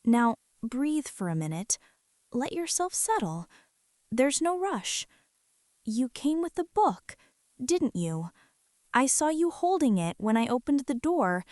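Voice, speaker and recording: A woman acts as a tender, anxious mother in an ASMR roleplay. Her voice sits fairly high yet stays mellow and hushed, and she speaks very slowly, with hesitant reassurance. The sound is close and dry. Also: dry recording. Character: gentle, nervous mother fussing over a hurt boy. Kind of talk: soft-spoken ASMR roleplay.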